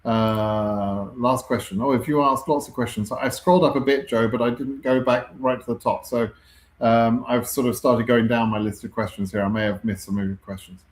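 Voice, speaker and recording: A man's voice: medium pitch, steady tone, moderate pace, roomy sound, low-quality recording. A man with a British accent hosting a live question-and-answer stream, talking conversationally with frequent ums and self-corrections. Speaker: host answering viewer questions.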